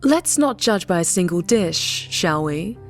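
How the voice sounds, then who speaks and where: A woman narrator puts on a discerning, hard-to-impress lady gourmet in an audiobook. She sounds cool and refined, fairly low for a woman, over soft music. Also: animated, measured pace. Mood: cool, reflective. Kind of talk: audiobook character acting.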